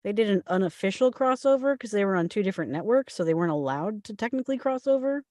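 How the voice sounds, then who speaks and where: A woman's voice: medium pitch, expressive, fast talker, darkish tone, no echo, clean studio sound. A woman in her forties chatting casually and telling stories in a group conversation. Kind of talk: casual group chat about television.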